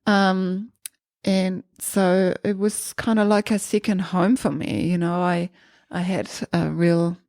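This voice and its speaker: A woman from Germany with a German accent, a podcast guest talking in a relaxed, thoughtful way with many small pauses. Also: medium pitch, dry recording, studio quality. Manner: thoughtful, hesitant with many ums. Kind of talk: casual interview chat.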